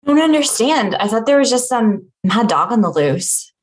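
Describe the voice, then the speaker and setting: A woman's voice: a little high, very animated, moderate pace. A woman playing a guarded, dry-witted office worker in a comedy script table read.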